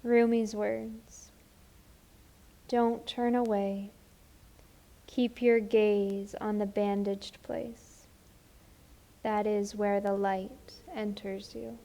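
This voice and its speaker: Woman, meditation guide, very slow pace, soft-edged mellow tone, subdued intonation, gentle and soothing, long pauses. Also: medium pitch, flat delivery.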